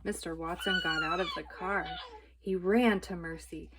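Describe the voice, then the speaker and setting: A woman's voice: fairly low pitch, wildly swooping pitch, normal speed, heavy background noise. Woman reading a children's storybook aloud. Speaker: storytime reader. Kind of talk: children's book read-aloud narration.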